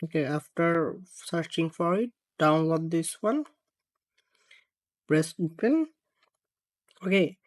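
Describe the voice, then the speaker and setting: A tutorial presenter of unclear sex narrating a phone how-to step by step in halting phrases. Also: medium pitch, dry recording. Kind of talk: screen-recorded how-to narration.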